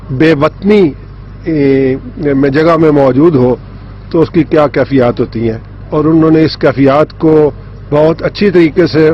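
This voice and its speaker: A male audience member giving measured interview comments with frequent pauses. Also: on the high side. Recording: dull sound with faint noise.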